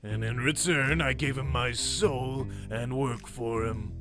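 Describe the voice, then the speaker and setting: A man's voice: mid-range voice, steady tone, slow, a bit bright, soft background music. A man voicing a cynical, stubborn cartoon casino henchman who holds grudges, with a dry, blunt way of talking. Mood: matter-of-fact.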